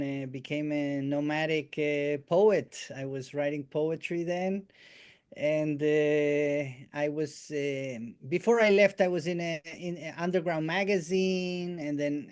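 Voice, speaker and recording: Halting Argentine-born man on a call. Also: a little high, darkish tone, clear, resonant tone. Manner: halting, many restarts and "eh" fillers. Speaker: guest musician and storyteller. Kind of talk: casual conversation, life story.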